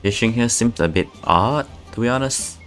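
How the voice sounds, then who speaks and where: A man streaming a game, mumbling casual low asides as he plays. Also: moderate pace, fairly bright tone, faint music behind. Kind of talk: casual muttered commentary.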